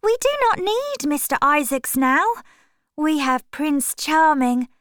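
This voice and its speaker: A woman's voice: sits high, sing-song, slow, fairly bright tone, dry recording, pitched above the performer's own voice, full character voice. A woman reading the part of a starry-eyed young actress in love in a storybook. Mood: dreamy.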